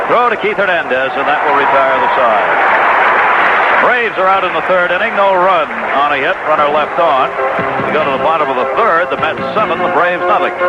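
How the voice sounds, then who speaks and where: A man doing live baseball play-by-play in clipped descriptive phrases, in the style of 1980s radio sports commentary. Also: fairly high pitch. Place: stadium broadcast booth. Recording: dull, noisy old AM radio broadcast.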